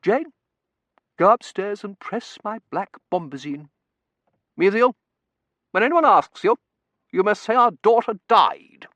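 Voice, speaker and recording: A man's voice: expressive, unhurried, long pauses, fairly bright tone, dry recording. A man voicing an imperious, melodramatic older Victorian matron who wails and commands. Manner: shrill, wailing, commanding.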